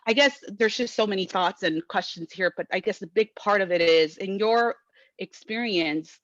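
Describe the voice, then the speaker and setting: A woman's voice: mid-range voice, moderate pace, a bit bright, dry recording, dull audio. A woman from Guatemala asking a long, searching question at a talk, with many fillers and restarts. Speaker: audience member asking a question.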